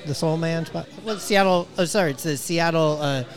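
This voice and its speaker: Male podcast host, slightly high pitch, casual and friendly questioner. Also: normal speed. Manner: friendly, laughs often, asks leading questions. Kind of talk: casual interview chat.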